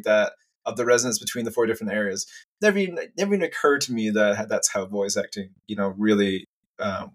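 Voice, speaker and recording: A man hosting a casual podcast interview, eager and nerdy, asking follow-up questions. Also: mid-range voice. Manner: enthusiastic, self-described nerd, asks follow-ups. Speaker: podcast host.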